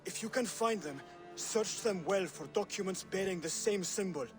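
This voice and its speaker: A male actor plays an earnest young male assassin scholar in a video game cutscene. His voice sits high for a man, and he speaks with measured, formal conviction, like a mentor giving solemn instruction. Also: over music, natural voice. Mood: grave, persuasive.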